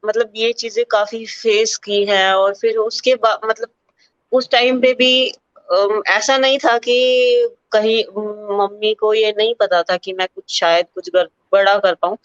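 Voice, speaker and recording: A young woman from Uttar Pradesh talks through her life story in a relaxed, searching way, pausing often to find words. Her voice is smooth and clear, recorded close and dry with a slightly dull, muffled sound. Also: mid-range voice, dry recording. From Uttar Pradesh, India. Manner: thoughtful, many fillers, mixes English words into Hindi. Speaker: para-athlete sprinter, interview guest. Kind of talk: candid personal interview.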